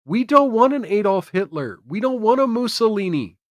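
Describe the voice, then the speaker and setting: A man's voice: high voice, big pitch swings, normal speed, dry recording, clean studio sound. An American man hosting a political commentary stream, speaking emphatically and repeating phrases for effect. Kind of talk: opinionated political commentary to camera.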